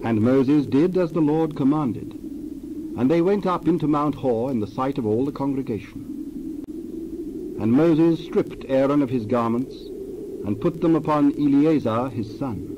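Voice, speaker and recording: A man reading scripture aloud as narrator, measured and reverent. His pitch sits mid-range. A faint hiss runs underneath. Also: dull audio.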